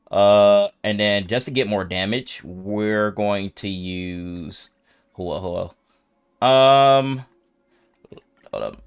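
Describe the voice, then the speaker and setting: A man doing casual gameplay commentary as a streamer, informal and slangy, thinking aloud as he plays. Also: lowish voice. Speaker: video game streamer.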